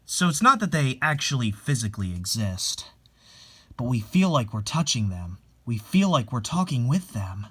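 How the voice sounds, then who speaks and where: A man playing a calm, gentle young married man in a dramatic reading, soft-spoken and reflective. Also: medium pitch, breathy edge, pitched below the performer's own voice.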